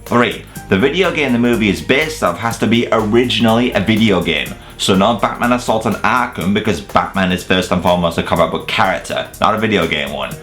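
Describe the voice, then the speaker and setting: A man's voice: lowish voice, brisk, few pauses, fairly bright tone, faint music behind. A British man hosting a video review, delivering scripted comedic countdown narration in a sarcastic, sweary, exaggerated way. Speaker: host of a video review channel.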